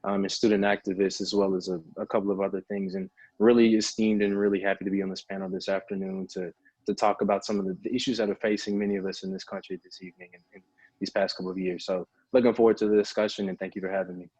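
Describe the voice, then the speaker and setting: A young man talks fast in a low, flat voice, upbeat and rambling. The call audio is dry and lo-fi. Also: slightly rough, dry recording. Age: young adult. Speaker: student activist.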